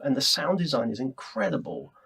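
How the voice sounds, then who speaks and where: A British man interviewing casually, enthusiastic and chatty, quick to agree with his guest. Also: medium pitch, expressive, vocal fry, fairly bright tone, slightly rough. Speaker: interviewer, presenter. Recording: distant ambient room mic.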